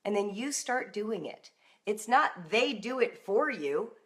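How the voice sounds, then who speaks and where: A middle-aged woman with an American accent talks in an informal coaching style. Her mid-pitched voice is direct and conversational, and she stresses her points as she addresses listeners. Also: lively intonation, slow pace. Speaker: parenting and homeschool coach, live broadcast host. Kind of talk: informal live coaching talk with acted-out example dialogue.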